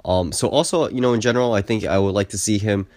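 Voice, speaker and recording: A man's voice: on the low side, fast talker, a little mellow, no room sound, studio quality. A young man with a congested cold voice, giving a casual basketball recap as a video host and often saying "I definitely think". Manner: easygoing, repeats "I definitely think". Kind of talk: casual sports recap commentary.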